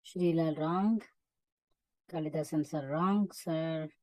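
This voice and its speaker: A woman with an Indian accent teaching a live online English class in a brisk, instructive way with short, clipped remarks. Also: deep voice, mellow, no room sound. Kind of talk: live interactive lesson, calling out names and marking answers.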